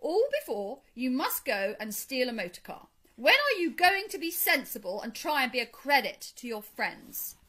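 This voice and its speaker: Woman as a firm, scolding water rat, high, bright. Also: sing-song, exaggerated voice. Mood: exasperated. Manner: brisk, emphatic, admonishing.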